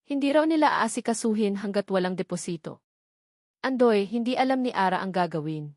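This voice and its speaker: A woman voicing a worried man phoning with urgent bad news in an audio drama, anxious and hurried. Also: medium pitch, animated, a little mellow, no echo, studio quality, natural voice.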